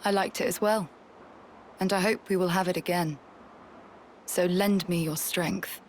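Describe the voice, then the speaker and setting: A woman voicing a calm, formal, dignified military commander in a video game. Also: lowish voice, measured pace, a little hiss or hum, close to an everyday voice. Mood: composed, gracious. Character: composed, dignified female military commander, dryly teasing. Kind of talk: scripted video game voice acting.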